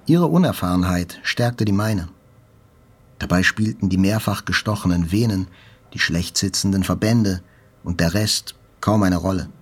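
A man narrating a literary first-person story for a radio drama in a measured, reflective manner. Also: low-pitched, slightly rough.

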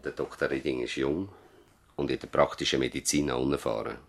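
An older country doctor in a 1970s radio drama, with an extremely low voice. He speaks with a Swiss German accent and addresses people in a courteous, collegial, formal way. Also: fairly even intonation, a little fast, creaky, exaggerated voice. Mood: confiding. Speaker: actor in a radio play.

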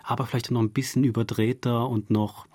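A man's voice: mid-range voice, level tone, brisk, darkish tone, breathy edge, dry recording, clean studio sound. A man giving a thoughtful interview as a radio drama director, explaining his craft with examples. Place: dry radio studio.

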